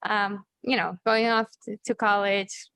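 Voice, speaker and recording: A woman in her thirties from Chile, a native Spanish speaker, talking casually as an interview guest, halting with many fillers and restarts. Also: medium pitch, flat delivery, gravelly, a bit bright, smooth, dry recording. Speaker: guest, journalist and author.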